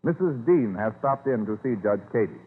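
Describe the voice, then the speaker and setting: A man's voice: mid-range voice, lively intonation, moderate pace, slight background noise. An American man narrating a 1930s radio program as announcer, in formal, declamatory scripted style. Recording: muffled vintage radio recording over music. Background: music.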